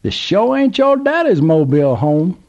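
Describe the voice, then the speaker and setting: A man putting on a squeaky excited old Southern codger. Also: normal speed, highs cut off. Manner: drawling, exclaiming, high and squeaky.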